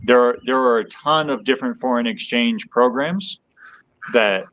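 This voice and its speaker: A man's voice: mid-range voice, slow, fairly bright tone, muffled recording. A man with an American accent explains things in a casual, conversational way as a podcast guest. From United States.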